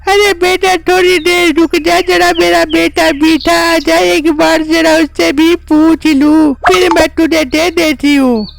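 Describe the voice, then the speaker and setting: A woman's voice: very high voice, steady tone, unhurried, creaky, hardly any pauses, pitched above the performer's own voice, exaggerated voice. A woman voicing a simple, homely village mother who fusses over her grown son in an Urdu cartoon moral story. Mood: coaxing.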